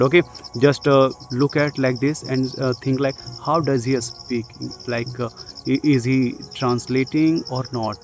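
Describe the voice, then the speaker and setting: A man's voice: mid-range voice, a little mellow. A young man with an Indian accent gives a casual spoken English lesson, earnestly repeating his points and often checking in with "okay?" between filler sounds. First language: Jharkhandi language. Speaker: English teacher.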